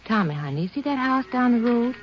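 An actress playing a poor, plainspoken mother in a 1950s radio drama, patient and gently firm. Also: a little high, animated, quick pace, lightly acted. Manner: homespun, patient, folksy phrasing. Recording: muffled, slightly noisy old radio transcription.

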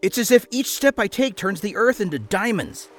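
A man's voice: sits high, lively intonation, normal speed, fairly bright tone, faint music behind, voice pushed up. A male narrator voicing an imaginative, bookish young boy, earnest and dreamy.

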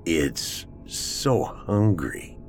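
Man playing a slow, eerie possessed man. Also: deep voice, big pitch swings, creaky, faint music behind, voice pushed down, full character voice. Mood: eerie, menacing. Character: possessed, expressionless man, hungry and menacing. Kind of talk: horror audiobook character acting.

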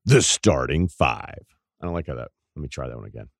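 A man with a deep voice hosting a podcast, telling rambling stories in casual chat and laughing at his own anecdotes. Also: sing-song, brisk, vocal fry, no room sound, clean studio sound. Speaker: podcast host.

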